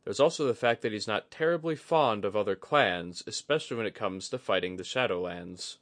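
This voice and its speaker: A man voicing a composed, shrewd young commander in a fantasy audiobook, measured, courteous and dryly humorous. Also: fairly low pitch, a bit bright, dry recording, natural voice. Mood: resigned, wary. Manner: measured, well-spoken, dryly amused. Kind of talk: audiobook fantasy character acting.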